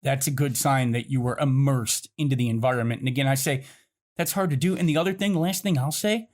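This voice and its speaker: A man's voice: mid-range voice, quick pace. A man speaking casually as a podcast panelist, reflective and explanatory in manner. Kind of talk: casual discussion.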